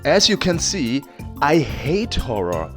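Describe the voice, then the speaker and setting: A man talks through a video game review with very lively, swooping intonation. He is theatrical and self-deprecating and swears freely. Music plays clearly behind his voice. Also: a little high, takes it slowly. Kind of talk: casual, profane review commentary over gameplay. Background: background music.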